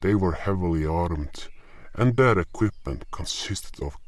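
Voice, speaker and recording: A man narrates a fantasy tale in scripted first person. His voice is low, the delivery slow and measured, recorded close and dry with little room around it. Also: expressive, no room sound.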